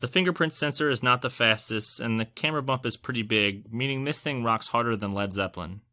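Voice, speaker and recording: A man's voice: medium pitch, fairly quick, sharp tone. A man hosting a scripted product review, narrating with wry, joking asides. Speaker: tech reviewer and host.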